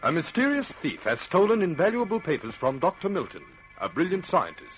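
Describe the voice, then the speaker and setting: An actor as a radio announcer, narrating a mystery serial in a dramatic, emphatic old-time style. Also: male voice, a little high, sing-song, brisk, music in the background, poor audio.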